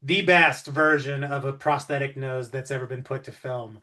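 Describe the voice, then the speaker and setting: A man's voice: medium pitch, steady tone, moderate pace, bright, forceful tone. Chatty man hosting a casual interview. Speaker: interviewer, podcast host.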